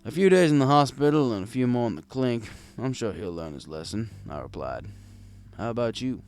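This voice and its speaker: A man's voice: mid-range voice, expressive, brisk, a little mellow, clear, resonant tone, faint music behind. A man narrating a scripted first-person story as a world-weary, kindly old bartender. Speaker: audiobook narrator.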